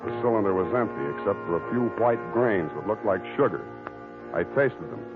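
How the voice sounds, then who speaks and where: An actor playing a wry, weary hard-boiled private detective, narrating and talking in an old-time radio drama. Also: male voice, lowish voice, animated, fairly quick, over loud music, close to an everyday voice. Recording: tinny old radio recording.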